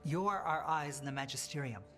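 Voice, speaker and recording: A woman's voice: sits low, moderate pace, fairly bright tone, soft background music. A woman playing a direct, no-nonsense leader of a secret resistance in a fantasy game. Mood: concerned, businesslike. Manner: direct, businesslike. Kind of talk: game dialogue.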